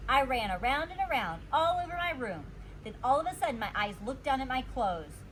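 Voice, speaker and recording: A woman reading a children's storybook aloud like a teacher, expressive and emphasizing her words. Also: high-pitched, a little fast, a little hiss or hum, rough recording. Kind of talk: animated storybook read-aloud.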